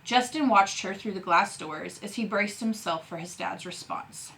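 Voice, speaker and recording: A woman teacher reading a novel aloud in an expressive narrating style. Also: mid-range voice, moderate pace, gravelly, rough recording.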